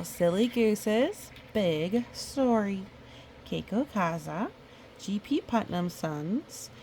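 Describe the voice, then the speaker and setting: A woman reading a picture book aloud in a chatty, expressive way, with casual asides. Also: fairly low pitch, gravelly, slight background noise. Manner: chatty, expressive storytelling with playful sound effects. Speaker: storybook reader.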